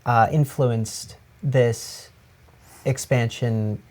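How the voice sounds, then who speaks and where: A man's voice: medium pitch, fairly even intonation, drawn-out words, a little mellow. A man hosting an interview podcast, asking questions in a conversational style.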